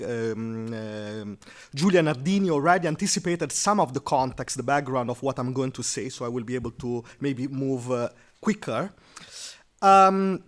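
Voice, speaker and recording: Man giving a measured academic talk. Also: on the high side. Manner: measured, with frequent fillers. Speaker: historian presenting a paper.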